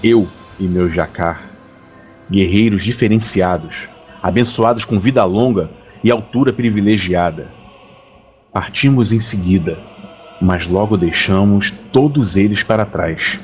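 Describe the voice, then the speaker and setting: A man playing a grave, weary warrior captain who narrates his expedition in an audio drama, solemn and measured. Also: lowish voice, darkish tone, close to an everyday voice. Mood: grave, ominous. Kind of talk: dramatic first-person scripted narration. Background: faint music.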